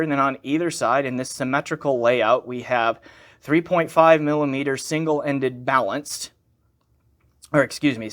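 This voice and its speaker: A man's voice: on the high side, fairly bright tone. A man giving a casual, unscripted product review, talking conversationally and thinking aloud as he corrects himself. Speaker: gear reviewer and channel host.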